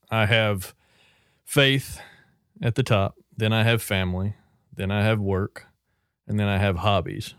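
A man hosting a podcast, leading a reflective discussion in a plain-spoken, measured way. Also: on the low side, gravelly, no echo, clean studio sound. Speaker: podcast host.

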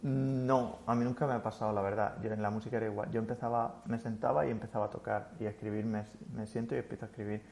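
A man speaking as a guest in a relaxed interview, reflective and rambling, with frequent restarts. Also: on the low side, quick pace, creaky, few pauses. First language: Spanish. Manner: thoughtful, rambling with many restarts. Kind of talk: casual interview chat.

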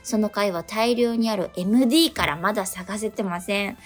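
A woman's voice: mid-range voice, normal speed, faint music behind. A young adult woman co-hosting a radio show, reading out listeners' letters and chatting. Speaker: radio co-host.

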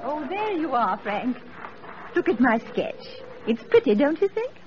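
An actress playing a bright, eager young lady in a 1940s radio drama. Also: high voice, very animated, a little slow. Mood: cheerful, chatty. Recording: muffled, noisy old radio broadcast.

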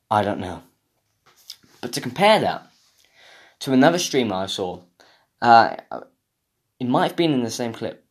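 The young British man you would hear on a homemade solo podcast, swearing and rambling in mock outrage at an everyday pitch. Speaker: podcast host. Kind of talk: casual comedic rant.

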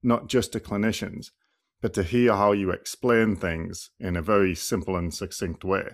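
A man's voice: low-pitched, steady tone, moderate pace. A man hosting a podcast, talking in a thoughtful, rambling way with many fillers and restarts. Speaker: podcast host and clinician. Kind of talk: casual podcast conversation.

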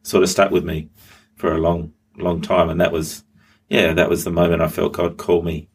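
A man's voice: sits low, completely flat delivery, moderate pace, slightly rough. A middle-aged man, a pastor, telling his story as an interview guest, hesitant with many ums and restarts. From Australia. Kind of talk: interview guest, personal testimony.

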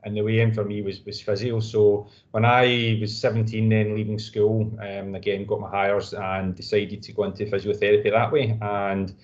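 A man's voice: fairly low pitch, flat delivery, normal speed, few pauses, muffled recording. A Scottish man in his thirties answering interview questions in a modest, rambling way. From Scotland. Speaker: guest physiotherapist.

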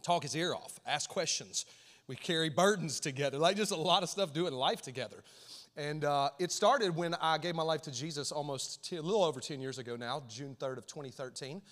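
A man in his thirties with an Alabama accent, a guest pastor opening his sermon with folksy, animated talk to the congregation. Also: a little high, a little fast. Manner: folksy, animated, repeats words, rallies the crowd. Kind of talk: sermon opening, casual and energetic. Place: large church auditorium. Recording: long reverb of a large hall.

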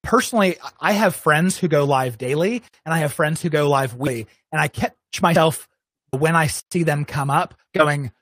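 A man from Arizona speaks as a guest in a live-streamed interview. His voice sits a little high for a man, recorded close and dry with no room sound. He talks in a relaxed, conversational way, sometimes voicing the imagined thoughts of his viewers. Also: moderate pace, no echo. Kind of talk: casual interview talk, giving advice.

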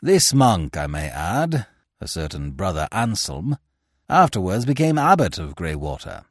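A man reading aloud voices an injured detective, hoarse and weak, speaking with precise dry understatement. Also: low voice, sing-song, a little slow, no room sound, exaggerated voice. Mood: explaining. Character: injured, weakened man detective, clipped and determined.

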